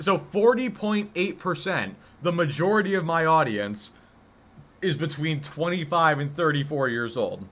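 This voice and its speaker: A young man of twenty with a deep voice that still cuts through with a bright, forceful edge. He hosts a live stream and talks casually, bantering with his chat and defending himself in a joking, rambling way. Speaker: livestream host.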